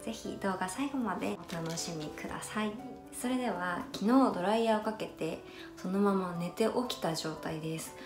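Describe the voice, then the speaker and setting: A young woman from Niigata, a hairstylist, giving a polite, explanatory tutorial while styling her own hair with a curling iron. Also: lowish voice. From Niigata, Japan. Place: small bright room indoors. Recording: roomy sound with music behind.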